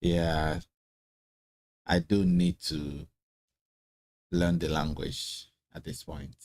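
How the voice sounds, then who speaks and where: Slow, deep-voiced man. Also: little pitch movement, darkish tone. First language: Igbo. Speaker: host. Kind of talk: casual interview conversation.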